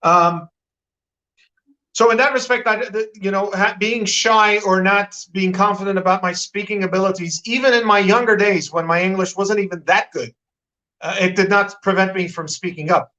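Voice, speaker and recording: Interview guest, male, American accent, bright high voice, rambling and self-correcting. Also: fairly even intonation, moderate pace, dull audio. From Turkey. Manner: talkative, frequent restarts and repeated words. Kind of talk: live-stream interview.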